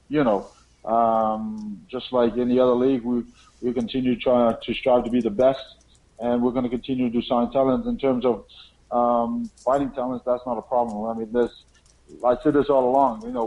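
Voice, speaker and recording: Assured exec guy, medium pitch, answering press questions on a kinda muffled call. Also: moderate pace. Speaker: fight promotion president. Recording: dull lo-fi conference phone line.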